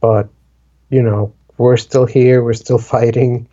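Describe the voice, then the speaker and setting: A man's voice: mid-range voice, flat delivery, slow pace, dark tone, lo-fi sound. A man in his twenties from the Dominican Republic, chatting casually and rambling with many fillers like "like" and "um". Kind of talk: casual conversation.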